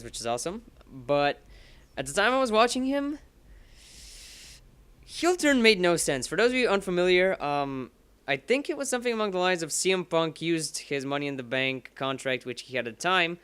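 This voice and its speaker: A young man talks in a bright, pointed tone with a clean, crisp voice, his pitch sitting a little high. He rambles loosely from topic to topic as he plays a game, swearing freely and snapping at the game. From Bulgaria. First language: Bulgarian. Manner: rambling and profane, curses at the game. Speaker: let's play commentator. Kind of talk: rambling casual commentary while gaming.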